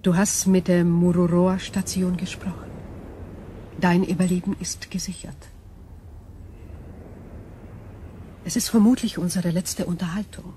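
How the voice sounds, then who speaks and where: Woman acting a female alien intelligence, ancient and calm, low mellow voice, hushed, formal, detached, sci-fi radio play, faint background noise. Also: moderate pace, close to an everyday voice. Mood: quiet, wistful.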